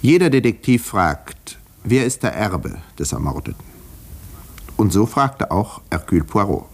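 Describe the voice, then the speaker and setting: An observant doctor telling a detective story in a 1950s radio play. His voice is low and moves in lively curves. The telling is measured and literary, with dry irony. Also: breathy edge, full character voice. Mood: measured, reflective. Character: doctor narrating a murder case, observant, dryly ironic.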